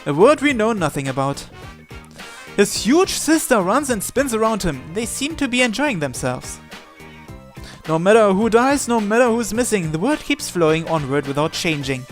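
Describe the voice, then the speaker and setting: High-pitched man reading a story aloud. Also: sing-song, normal speed, soft background music. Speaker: game streamer reading visual novel text aloud. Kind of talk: narrated read-aloud of a visual novel.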